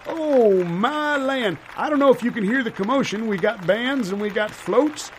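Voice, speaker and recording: A man playing a folksy, excitable small-town parade commentator in an improvised mock live broadcast. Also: very high-pitched, normal speed, slight background noise. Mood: excited. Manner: folksy, homespun phrasing.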